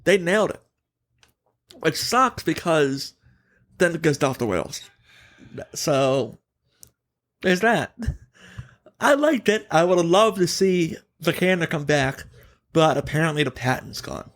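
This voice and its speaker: Higher-voiced male podcast host, opinionated. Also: expressive, slow, pauses often, fairly bright tone. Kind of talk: casual gaming podcast chat.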